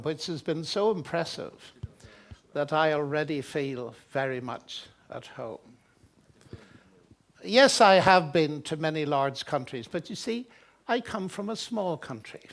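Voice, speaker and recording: An older man from Northern Ireland with a Northern Irish accent, giving a public lecture with genial, self-deprecating humor. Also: on the high side. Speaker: guest lecturer. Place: hotel lecture hall with a large audience.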